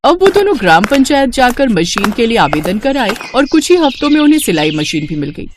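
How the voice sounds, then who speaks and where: A woman narrating a scripted moral story as an expressive storyteller. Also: on the high side, brisk, background noise.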